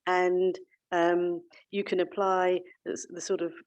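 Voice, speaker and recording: A woman's voice: fairly low pitch, level tone, slow, darkish tone, muffled recording. A woman moderating an online breakout session over a video call, talking with many fillers and restarts. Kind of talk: online meeting facilitation.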